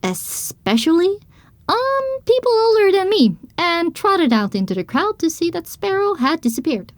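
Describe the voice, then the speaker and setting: A woman reading scripted first-person story narration for an audio drama, with expressive storytelling delivery. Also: very high-pitched, moderate pace, dry recording.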